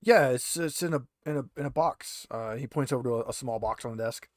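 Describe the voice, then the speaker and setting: A man's voice: medium pitch, fairly quick, no room sound, studio quality. A man speaking casually as the game master of an online tabletop roleplaying game. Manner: casual, conversational.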